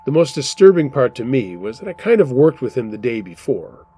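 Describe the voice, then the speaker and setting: A man hosting a show where he reads submitted true scary stories aloud, in steady, measured first-person narration. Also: medium pitch, husky. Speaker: storyteller host.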